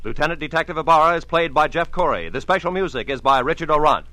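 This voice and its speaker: A man reading the closing credits as a radio announcer, with crisp, measured diction. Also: a little high, lively intonation, background noise. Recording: old radio broadcast with faint music.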